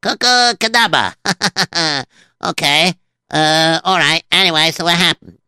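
A man's voice: on the high side, unhurried, pushed and bright, clean tone, no echo, clean studio sound, exaggerated voice. A man playing a scatterbrained, stammering comic sidekick who keeps interrupting and mishearing words. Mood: goofy. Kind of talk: comedic children's story show.

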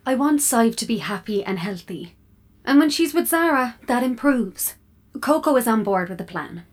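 A woman's voice: a little high, animated, normal speed, natural voice. A woman playing an opinionated, scheming female smart fridge in an audio drama, sly and self-assured. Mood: sly, self-satisfied.

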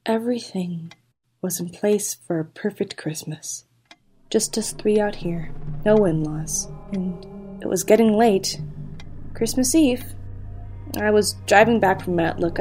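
A woman's voice: medium pitch, dark tone, close to an everyday voice. An actress playing a haunted, grieving mother in an audio drama, brittle, wistful and insistent.

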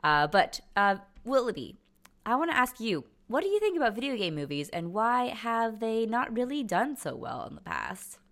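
A young woman hosting a podcast in a casual, conversational way, pausing and stretching words as she thinks. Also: on the high side, very animated, fairly bright tone. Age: young adult. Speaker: podcast host.

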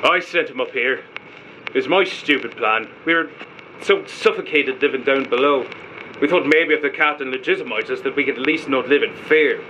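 Man playing a hot-tempered prisoner, bright and forceful. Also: mid-range voice, slight background noise, lightly acted, poor audio. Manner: defiant, emotional, swearing. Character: hot-tempered lower-class stowaway prisoner, fiercely loyal to his friend. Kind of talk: audio drama acting.

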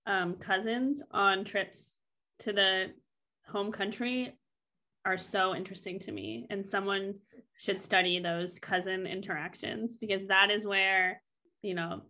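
A woman's voice: mid-range voice, pushed and bright. A woman interviewing a guest in a conversational way, reacting with enthusiastic, affirming remarks.

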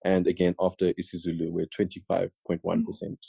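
Deep gravelly South African man. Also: fairly quick, dry recording. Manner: relaxed, chatty, jokes with his co-host. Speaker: podcast co-host. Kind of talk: casual conversational podcast.

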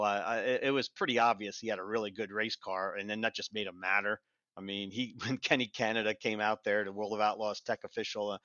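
A man's voice: on the low side, sharp tone, dry recording. An American man talking as a reporter on a podcast panel, casually rambling through race details with repeated, restarted phrases. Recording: dull lo-fi, very dry sound.

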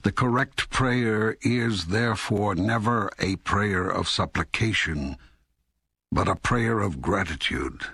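An actor reading God in an audiobook dialogue as a calm, authoritative teacher, with measured, solemn delivery and deliberate emphasis. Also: male voice, fairly low pitch, husky, no echo, exaggerated voice. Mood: solemn, instructive. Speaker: audiobook co-narrator.

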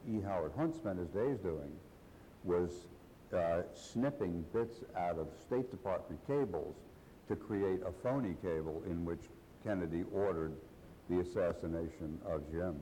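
A man, a historian on a panel, who speaks haltingly and corrects himself mid-sentence. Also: deep voice, mellow, long reverb tail, slight background noise, poor audio. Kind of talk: panel discussion. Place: large hall.

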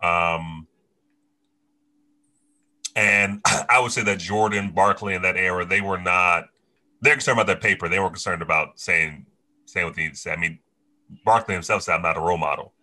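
A man's voice: low voice, normal speed, long pauses, sharp tone. A man on a podcast panel, talking casually about sports.

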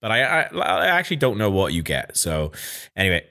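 A man's voice: low voice, sing-song, fairly quick, sharp tone. A man hosting a casual podcast, telling a story with swearing and mocking voices for the people he quotes. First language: Welsh. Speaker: podcast host.

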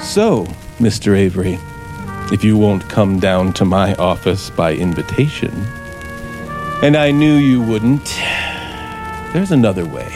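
Actor as slow, bitter, menacing American scriptwriter. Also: male voice, lowish voice, lightly acted. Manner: theatrical, sardonic, deliberate. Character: bitter, menacing frustrated male radio scriptwriter plotting murder. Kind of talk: 1950s American radio drama acting. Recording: vintage 1950s radio recording with music.

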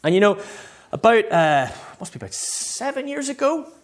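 Northern irish guy preaching, kinda high voice, really lively pitch, chatty storytelling style. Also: moderate pace. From Northern Ireland. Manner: conversational, self-quoting, acts out short bits of dialogue. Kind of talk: informal sermon with personal stories.

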